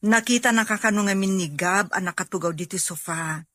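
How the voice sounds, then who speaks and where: A caring younger sister played by a woman in an audio drama. She probes gently in a mid-range voice, recorded dry and close. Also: medium pitch, fairly quick, dry recording, close to an everyday voice. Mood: concerned, gentle. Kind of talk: amateur Filipino audio drama acting.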